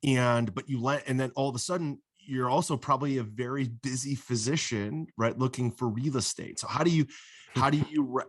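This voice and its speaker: A man's voice: mid-range voice, fairly quick, no echo. A man hosting a podcast interview, upbeat and affirming, asking long multi-part questions. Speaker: podcast host. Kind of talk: casual interview chat.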